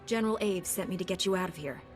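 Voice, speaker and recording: An actress playing an earnest, dutiful young warrior heroine in a video game, composed and resolute. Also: female voice, on the low side, quick pace, lightly acted. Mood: calm, resolute. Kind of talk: scripted video game acting. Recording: game cutscene with music underneath.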